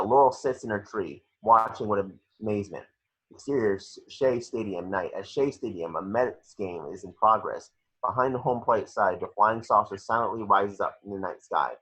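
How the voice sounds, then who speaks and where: A man's voice: fairly low pitch, fairly bright tone, husky. A man reading screenplay action descriptions at a table read, stumbling a little over the script, on a lo-fi home recording.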